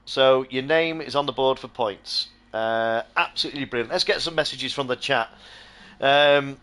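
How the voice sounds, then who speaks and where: A middle-aged man hosting and commentating a sim racing stream, hoarse and close to losing his voice, switching between excited play-by-play and rambling chat with viewers. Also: mid-range voice, moderate pace. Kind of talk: live-stream race commentary.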